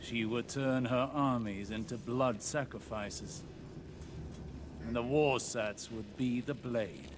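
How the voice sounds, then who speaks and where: A man playing a sharp-minded, stern older warrior scholar in a video game, terse and grave. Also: mid-range voice, slow pace, background noise, close to an everyday voice. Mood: grim.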